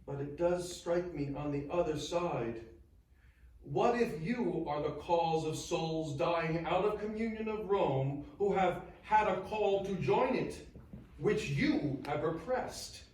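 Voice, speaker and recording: An actor playing a 19th-century churchman bidding friends a sorrowful, earnest farewell, in a solemn, deliberate theatrical reading. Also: fairly high pitch, animated, darkish tone. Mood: anguished. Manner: solemn, deliberate, emotive, oratorical with weighted pauses. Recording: very echoey space, distant microphone.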